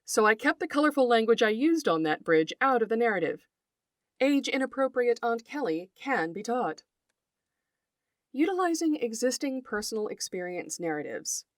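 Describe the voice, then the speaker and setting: A middle-aged woman hosting a podcast, reading a scripted narration in an articulate, deliberate storytelling way and speaking directly to her listeners. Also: fairly high pitch, big pitch swings, dry recording, studio quality. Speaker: podcast host, writer and folklorist.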